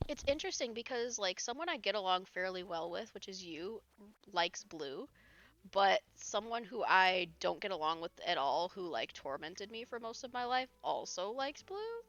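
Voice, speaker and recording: A young woman with a hoarse voice, chatting casually with her live audience while she plays a game, often laughing and reading comments aloud. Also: medium pitch, big pitch swings, moderate pace, a bit bright, highs cut off. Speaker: live streamer. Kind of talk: casual chat while gaming.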